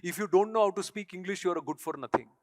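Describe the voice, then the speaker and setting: A man with an Indian accent and a high-pitched voice drives his stage speech with pointed rhetorical questions. His first language is Tamil. The sound carries a long echo from a big hall. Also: racing. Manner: emphatic, rhetorical, challenging the audience. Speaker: speaker. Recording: echoey hall.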